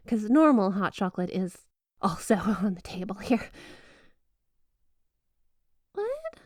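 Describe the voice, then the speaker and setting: A woman's voice: on the low side, dry recording. Woman acting a flirty, clingy snow spirit wife, close-up. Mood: giddy, doting, then coy, mock-offended. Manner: coy, teasing, mock-offended, doting. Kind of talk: roleplay ASMR, scripted intimate monologue to the listener.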